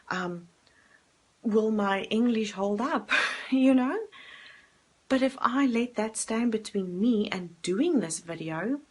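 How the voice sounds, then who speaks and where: Breathy woman. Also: medium pitch. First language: Afrikaans. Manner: earnest, direct, asks herself questions and answers them. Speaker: coach. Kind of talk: talking-head self-help video.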